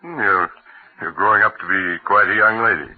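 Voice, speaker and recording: A man playing a calm, kindly family doctor and storyteller in an old-time radio drama, measured and reassuring. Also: on the low side, slightly rough. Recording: muffled, narrow-band old radio recording.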